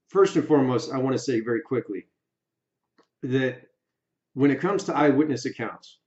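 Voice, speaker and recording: Guy doing opinion video commentary, medium pitch, emphatic short phrases, echoey room, kinda dull audio. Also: darkish tone. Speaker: YouTube video creator. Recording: roomy echo, slightly dull sound.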